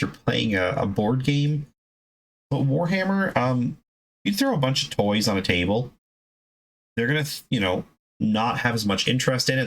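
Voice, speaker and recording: A man's voice: mid-range voice, a bit bright, rough recording. A man giving casual, unscripted hobby advice as a video host, in a conversational, emphatic way that rambles into asides.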